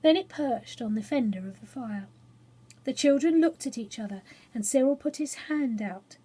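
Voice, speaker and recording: A woman narrating a children's story expressively, with dramatic pauses and emphasis. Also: high voice, animated, mellow, slightly rough. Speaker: storyteller reading a children's book.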